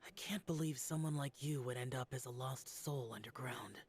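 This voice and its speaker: An actor playing a stern, solitary young immortal warrior in a video game, speaking in a curt, grave, proud way. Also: male voice, mid-range voice, moderate pace, close to an everyday voice. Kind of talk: dramatic video game voice acting.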